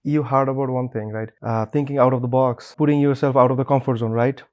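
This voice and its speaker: A man's voice: medium pitch, steady tone, fast talker, darkish tone, dull audio. Tired young man from Bangladesh. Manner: earnest, repeats himself for emphasis. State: a little tired. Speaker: interview guest. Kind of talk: casual interview.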